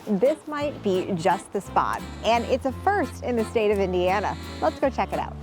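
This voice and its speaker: A woman's voice: medium pitch, sing-song, moderate pace, music in the background. A woman hosting a local TV feature, asking guests friendly, upbeat questions. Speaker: show host and interviewer.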